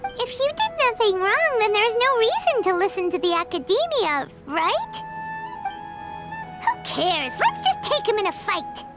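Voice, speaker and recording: A woman voicing a tiny, spirited childlike companion in a game dub, squeaky and exclamatory. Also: very animated, a little slow, heavily acted. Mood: eager, feisty. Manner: exclamatory, refers to self in third person. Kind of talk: English game dub acting. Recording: loud music behind the voice.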